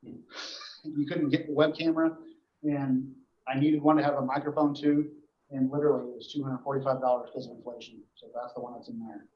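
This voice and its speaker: A man gives an informal report to a board at a meeting, explaining things in a halting, matter-of-fact way. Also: mid-range voice, steady tone. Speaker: emergency dispatch official reporting to a board. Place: indoor meeting room. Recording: echoey meeting room, dull muffled audio.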